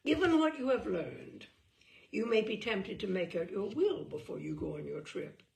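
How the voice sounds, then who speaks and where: A woman's voice: mid-range voice, big pitch swings, moderate pace, gravelly, a little mellow. A woman giving an arch, theatrical, mock-serious reading of a humorous book. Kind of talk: theatrical reading aloud of comic prose.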